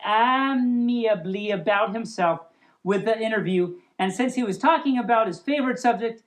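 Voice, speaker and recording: A woman reading a story aloud as a storytime host, playful and silly in an expressive way. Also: on the high side, normal speed.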